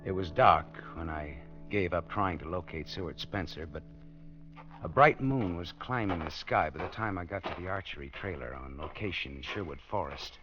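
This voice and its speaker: A man playing a dry, deep-voiced private eye. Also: moderate pace, music in the background, lightly acted. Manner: dry, wry, low-key. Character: wisecracking male private detective, dry and tough. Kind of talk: 1940s radio acting and narration. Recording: dull lo-fi old radio recording.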